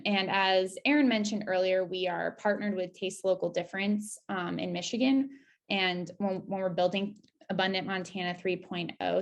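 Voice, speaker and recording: A woman with a slightly low, noticeably creaky voice walks listeners through a website demo. Her delivery is casual and conversational, with informal, upbeat asides as she explains each step. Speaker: presenter giving a website demo. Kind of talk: casual screen-share walkthrough.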